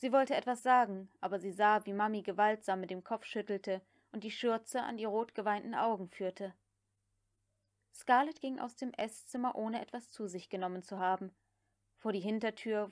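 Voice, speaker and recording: A woman reading a novel aloud as an audiobook narrator, in scripted literary narration. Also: medium pitch.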